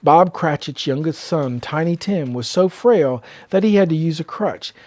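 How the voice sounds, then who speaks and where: An older man tells a children's story in a dry, close-sounding recording. His voice sits a little high for a man, and he reads aloud in an expressive, measured way. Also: dry recording.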